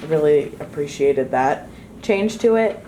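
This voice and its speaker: Woman, slightly low pitch, off-the-cuff comments, informal group discussion, faint noise behind. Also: expressive, a little slow, dark tone, poor audio. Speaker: former cast member giving feedback. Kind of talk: informal audience feedback. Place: indoor gathering in a home.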